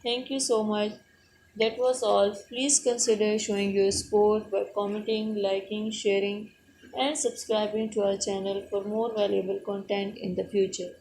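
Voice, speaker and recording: A woman's voice: medium pitch, level tone, moderate pace, darkish tone, slight background noise, rough recording. A woman hosting a step-by-step app tutorial, speaking in an instructional manner with simple non-native English phrasing. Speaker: tutorial host.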